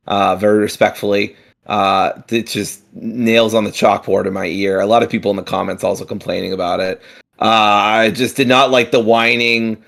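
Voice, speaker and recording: A man with a low, gravelly voice talks loosely on a gaming podcast. He rambles, filling gaps with ums and uhs and dropping the odd swear word. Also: a bit bright. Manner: rambling, frequent fillers and restarts, swears casually. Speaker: podcast co-host. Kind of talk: casual chat.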